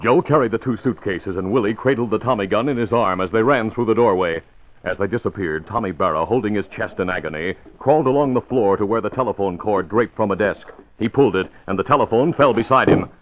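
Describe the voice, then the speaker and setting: A man's voice: on the low side, fast, slight background noise. A man narrating a 1940s radio crime serial in a formal, dramatic storytelling delivery. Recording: muffled old radio recording. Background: dramatic music.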